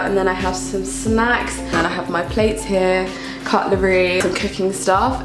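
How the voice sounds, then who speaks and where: A young British woman giving a casual, chatty room tour in an upbeat, informal way. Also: mid-range voice. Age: young adult. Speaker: vlogger, university student. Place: indoors, small student flat. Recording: echoey room with music underneath.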